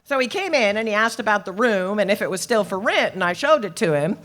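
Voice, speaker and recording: A woman acts out an older landlady in an old-time radio play. Her medium-pitched voice moves up and down in lively swings. She is flustered and rambling, defensive and stubborn, with a soft heart underneath. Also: fast talker, close to an everyday voice. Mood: sympathetic.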